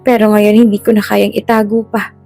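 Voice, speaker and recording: A woman voicing an ailing, tender mother in a drama story, speaking gently, wearily and earnestly. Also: medium pitch, flat delivery, brisk, gravelly, faint music behind, close to an everyday voice. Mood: sad, confessional. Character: ailing, tender mother Elena, hiding her illness.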